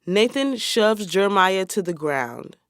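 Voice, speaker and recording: A narrator reads scripted stage directions for a radio drama. The voice sits at a middle pitch in a close, dry recording. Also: sing-song, a little slow, fairly bright tone, smooth, dry recording.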